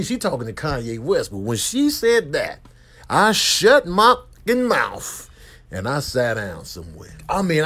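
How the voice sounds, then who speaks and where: A man's voice: mid-range voice, fairly bright tone. A man doing improvised comic commentary in a slangy, exclamatory, playfully ranting manner. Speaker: comedian making animal voice-over videos. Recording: noticeable background noise.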